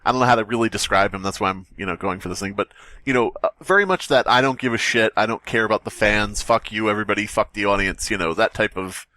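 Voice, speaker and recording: A man's voice: fairly low pitch, quick pace, pushed and bright, no room sound. A man co-hosting a podcast, chatting casually in a rambling, crude way and laughing often. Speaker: podcast co-host. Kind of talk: casual banter.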